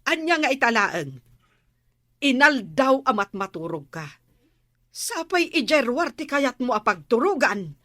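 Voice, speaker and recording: A woman's voice: high-pitched, very animated, measured pace, fairly bright tone, no echo, exaggerated voice. A woman acting a scolding, sarcastic village captain's wife in a radio comedy drama, with an Ilocano accent.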